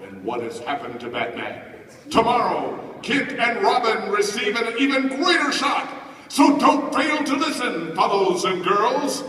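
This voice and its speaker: An excitable vintage radio announcer narrates with high drama. His pitch runs high and forceful, and it booms around a large, echoey convention room. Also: unhurried, heavily acted. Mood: urgent, excited. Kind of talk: 1940s radio serial narration. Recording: echoey convention hall, distant mic, crowd.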